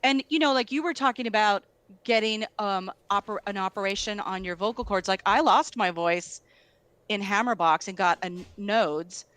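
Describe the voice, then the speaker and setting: A middle-aged woman at a mid pitch, recorded close and dry. She tells her stories in a loose, filler-heavy way, with "like" in nearly every phrase. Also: moderate pace, a bit bright, no echo. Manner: storytelling, filler-heavy, lots of "like". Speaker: guest musician. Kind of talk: casual conversation.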